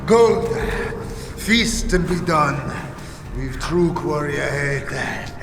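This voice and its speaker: A man voicing a sneering, menacing ghoul chieftain with a gravelly voice in a fantasy audio drama. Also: a little high, animated, extremely slow, over music, full character voice. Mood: commanding. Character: sneering authoritative male ghoul leader, menacing and mocking. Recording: reverberant hall with clear noise.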